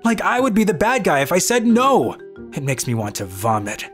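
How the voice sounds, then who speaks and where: A man's voice: high-pitched, huge pitch swings, normal speed, faint music behind. A man playing a weary, cynical young office worker who grumbles and narrates his own manga story in a dry, exasperated way. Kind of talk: dubbed manga story narration in first person.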